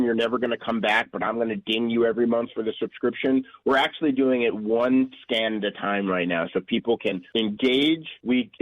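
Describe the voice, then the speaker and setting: A man's voice: medium pitch, no room sound, dull audio. A middle-aged man, a company founder, giving rambling, earnest answers in a radio interview, often correcting himself. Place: radio studio.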